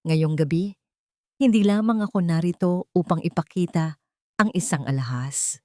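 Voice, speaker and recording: A woman voicing a dignified, vengeful wronged wife making a cold, steady, formal speech in an audio drama. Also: fairly low pitch, moderate pace, no room sound, studio quality, natural voice. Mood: cold, resolute.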